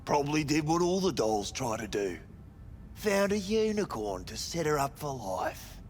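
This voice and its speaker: A man's voice: a little high, very animated, normal speed, a little hiss or hum. An actor playing a sleazy, cynical male brothel manager in a cyberpunk video game, talking in crude, dismissive slang. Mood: dismissive, snide. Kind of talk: video game dialogue acting.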